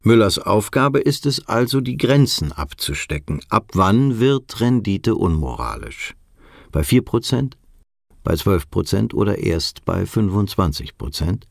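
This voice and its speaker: An actor playing a measured, ironically earnest narrator in a satirical radio drama. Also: male voice, lowish voice, very animated, creaky, dry recording, clean studio sound, exaggerated voice. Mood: dry, explanatory. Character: wry male narrator of a financial satire.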